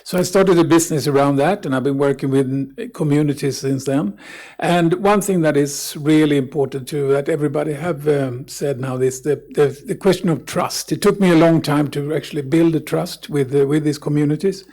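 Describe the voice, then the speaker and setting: A man with a Swedish accent giving an informal account as a business representative, halting and correcting himself. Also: a little high, a little mellow. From Sweden. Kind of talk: informal spoken account at a forum.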